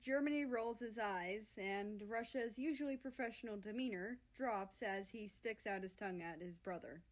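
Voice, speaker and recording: A woman's voice: mid-range voice, moderate pace, hardly any pauses. A woman reading a fan-written story aloud as its narrator.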